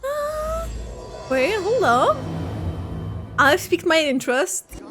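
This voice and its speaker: A woman's voice: very high-pitched, very animated, takes it slowly, music in the background. A woman giving casual playthrough commentary, reacting to a game in brief interjections. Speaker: gaming reactor.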